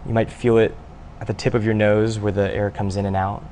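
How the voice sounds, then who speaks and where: Low, gravelly male meditation guide. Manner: unhurried, sparse phrases with long pauses. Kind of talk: guided meditation, spoken instruction. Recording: faint steady background noise.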